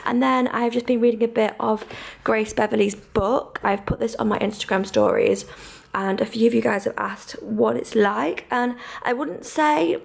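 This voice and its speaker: A woman's voice: on the high side, normal speed, vocal fry. A woman, chatting casually and informally to camera as a vlogger, with gushing enthusiasm. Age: 20s. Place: small flat, bedroom and kitchen, indoors.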